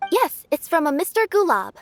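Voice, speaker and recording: Chipper cat-spirit courier. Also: female voice, very high-pitched, very animated, soft background music, exaggerated voice. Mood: polite. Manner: polite, brisk and friendly. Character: cheerful young cat-spirit courier woman with tails. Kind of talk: game voice acting.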